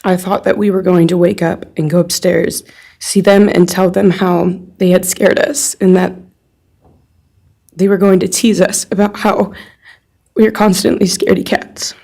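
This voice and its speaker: A woman's voice: lowish voice, flat delivery, normal speed, creaky, dark tone. A young woman reading a written personal statement aloud in a courtroom on someone else's behalf. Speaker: friend reading a victim's statement on their behalf.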